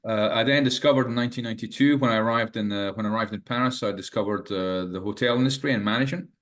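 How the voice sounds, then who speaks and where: A man's voice: on the low side, racing, a bit bright. A Scottish man in his early sixties, a management teacher and author, talking casually as an interview guest, hesitant with many fillers and restarts. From Scotland. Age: 60s.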